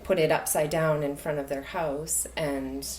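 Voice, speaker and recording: A woman's voice: low voice, steady tone, unhurried, darkish tone. A woman in her thirties from the far north of Canada, a podcast guest talking frankly and loosely, often laughing. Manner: frank, rambling, laughs easily. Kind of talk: casual conversation.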